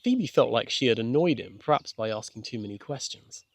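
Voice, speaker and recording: A man's voice: mid-range voice, animated, brisk, dry recording. Man narrating a children's story, dry sound. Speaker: author and narrator. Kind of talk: scripted children's audiobook narration.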